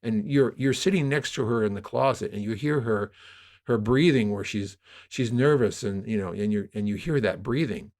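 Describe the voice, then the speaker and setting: A man's voice: lowish voice, moderate pace, a little mellow. A man speaking as an interview guest, explaining his production work conversationally and often rephrasing mid-sentence. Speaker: podcast guest, audio drama producer.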